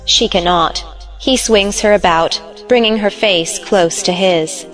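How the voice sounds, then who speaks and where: A woman's voice: mid-range voice, measured pace, fairly bright tone, soft background music. A woman giving scripted narration, reading out the stage directions of a play.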